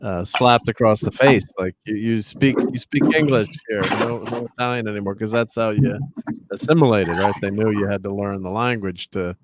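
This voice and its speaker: A man's voice: on the low side, steady tone, moderate pace, background noise. A man in casual chat as host, rambling with many fillers and restarts.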